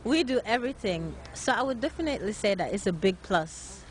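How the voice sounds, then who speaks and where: A woman's voice: medium pitch, expressive, brisk. A nineteen-year-old woman from Norway, a young fashion designer answering interview questions earnestly with many hesitant filler sounds. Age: late teens.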